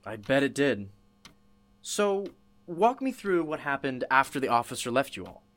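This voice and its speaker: An actor playing an inquisitive interviewer in a radio play, sympathetic but probing. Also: male voice, mid-range voice, big pitch swings, normal speed, a bit bright.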